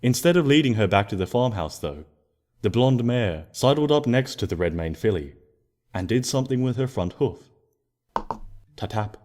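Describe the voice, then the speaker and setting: A man narrating a scripted story expressively, in a gentle storybook manner. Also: medium pitch, lively intonation, moderate pace. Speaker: fan fiction narrator.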